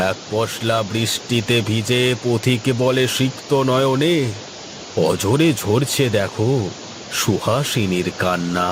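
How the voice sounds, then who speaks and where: A man playing a pompous, self-important village gentleman with poetic airs in a cartoon, speaking theatrically. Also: fairly low pitch, background noise. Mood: dreamy reciting. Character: pompous village gentleman who fancies himself a poet. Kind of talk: Bengali cartoon voice acting.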